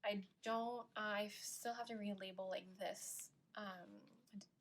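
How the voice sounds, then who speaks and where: A woman in her twenties chatting casually through a planner flip-through, full of "like" and "um", often dropping to a soft near-whisper. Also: mid-range voice, fairly even intonation, slow. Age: 20s. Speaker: planner vlogger.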